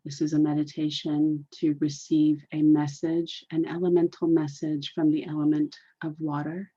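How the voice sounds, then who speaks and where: A woman leading a guided meditation in a deliberate, soothing, repetitive way, on a dull, muffled recording. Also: low voice, level tone, warm tone, husky.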